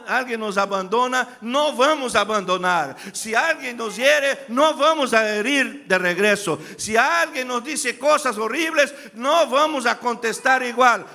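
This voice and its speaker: Un pastor de origen brasileño, con acento brasileño al hablar español, predica un sermón. Alterna relatos en voz baja con exhortaciones gritadas a la congregación. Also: male voice, high voice. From Brazil. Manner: swings from quiet storytelling to loud shouted preaching, calls out to the congregation. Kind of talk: sermon. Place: church sanctuary.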